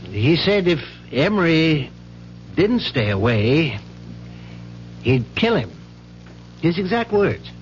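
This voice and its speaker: An actor playing a proper, measured university professor in a 1970s radio mystery, speaking precisely and with care. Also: male voice, fairly high pitch, sing-song, soft background music. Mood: measured, reticent. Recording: muffled, noisy 1970s radio recording with hum.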